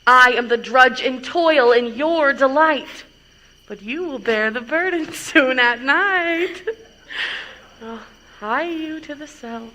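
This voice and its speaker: A woman plays a weary old nursemaid from Shakespearean stage drama, full of grumbles and teasing. Her voice is fairly high, and she drags out each complaint very slowly. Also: pushed and bright, exaggerated voice, rough recording. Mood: bustling, sly.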